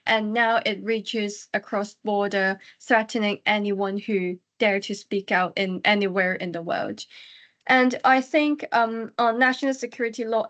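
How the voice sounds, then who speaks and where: A young woman with a Hong Kong accent speaks as an activist on a webinar. Her voice sits at a medium pitch for a woman, and she delivers prepared points earnestly and deliberately. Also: fairly bright tone. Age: about 20. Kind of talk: prepared webinar testimony and advocacy. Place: online webinar call.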